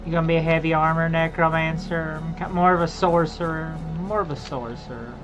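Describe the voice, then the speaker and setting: A woman's voice: sits low, steady tone, brisk, over music, low-quality recording. A woman streaming a video game, chatting casually and making playful, teasing jokes as she plays. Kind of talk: casual gameplay commentary.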